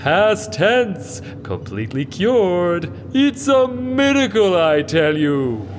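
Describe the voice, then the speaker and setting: A man voicing a huge, pompous, jolly duke with a booming, roaring delivery in an audio drama. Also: big pitch swings, slow pace, full character voice. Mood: boastful, amused. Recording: long hall reverb, music underneath.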